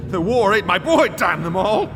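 A man acting an older, deranged American gentleman in a radio drama, ranting in slow, melodramatic declamation. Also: sits high, big pitch swings. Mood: furious raving. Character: deranged American gentleman descended into raving madness. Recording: loud music over the voice.

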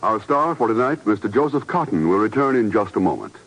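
A man's voice: on the low side, lively intonation, brisk, a bit bright, highs cut off. A man announcing in the formal scripted style of 1950s radio. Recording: old radio broadcast with organ music.

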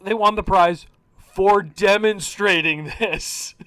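Podcast guy reading out weird facts, deadpan-ish, no room sound. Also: high-pitched, slow pace, clear, resonant tone, no echo, rough recording. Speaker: podcast co-host. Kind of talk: casual comedic chat.

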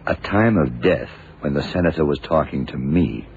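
An actor playing a shrewd, calm, self-assured detective in an old radio drama, speaking in a deliberate, probing, emphatic way. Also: male voice, rumbling low. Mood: confident deduction. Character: shrewd, methodical male detective, calm and sure of himself. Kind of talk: 1970s radio drama acting. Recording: dull, noisy old radio recording.

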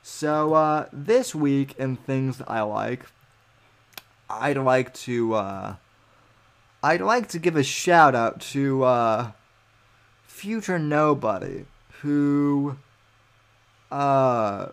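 A man's voice: medium pitch, animated, drawn-out words, creaky, long pauses, clean tone. A young man hosting his own podcast, rambling and self-assured as he reads and answers his live chat. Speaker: podcast host. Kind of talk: solo livestream podcast, reading chat aloud.

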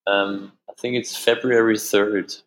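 A man from Austria whose first language is German, speaking English with an Austrian German accent as an interview guest, halting and repeating words, often laughing. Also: on the low side, level tone, poor audio. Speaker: musician guest. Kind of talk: casual interview answers.

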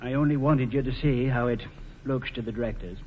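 A man acting a grave, measured small-town lawyer in a 1940s radio drama, formal and deliberate. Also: medium pitch, a little hiss or hum, lightly acted. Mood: grave, accusing. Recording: muffled old radio broadcast.

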